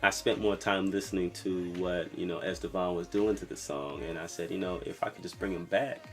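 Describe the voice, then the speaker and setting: A young man from Brooklyn, New York, a singer-songwriter talking casually about his music with a soft voice and lots of "you know"s. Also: sits low, a little fast. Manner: relaxed, rambling, lots of fillers like "you know".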